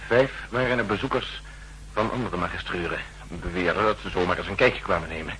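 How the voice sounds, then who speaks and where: An actor playing a worried, earnest official seeking help, speaking formally and tensely in a 1960s radio drama. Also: male voice, low-pitched, a little fast, sharp tone. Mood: anxious, earnest. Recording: noisy, humming old radio recording.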